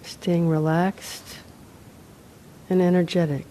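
A woman meditation teacher leading a guided meditation, unhurried, in short phrases with long pauses. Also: on the low side, little pitch movement, dark tone, a little hiss or hum, poor audio. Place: meditation center, live talk.

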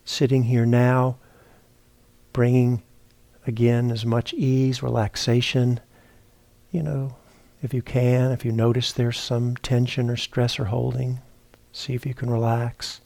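A man leads a guided meditation at a very slow pace. His voice is mellow and relaxed, with some gravelly fry. He talks reflectively and haltingly, often pausing to find the next phrase. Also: mid-range voice, dry recording. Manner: halting, reflective, searching for words with repeats and pauses. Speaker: meditation teacher. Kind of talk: guided meditation talk, unscripted. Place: meditation retreat center, indoors.

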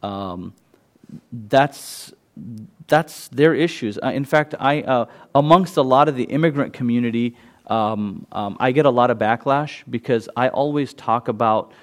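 A man's voice: medium pitch, a little slow. A man, an imam, giving an informal talk to an audience at a meditation center, loose and conversational, with frequent fillers and restarts. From United States.

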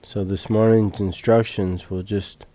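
Male meditation teacher, deep and even-toned, calm and unhurried with long pauses. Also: no echo. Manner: calm, measured, long pauses between phrases. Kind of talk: guided meditation instruction. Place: retreat center meditation hall.

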